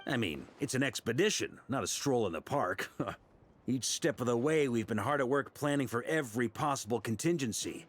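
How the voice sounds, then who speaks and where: A man's voice: mid-range voice, expressive, moderate pace, close to an everyday voice. An actor playing a confident male knight commander in a video game, assured and lightly amused.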